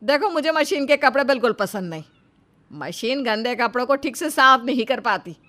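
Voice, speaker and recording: A woman's voice: on the high side, animated, moderate pace, bright, forceful tone. A woman voicing a stubborn, bossy older village mother-in-law with a scolding, indignant manner in a dramatised moral story.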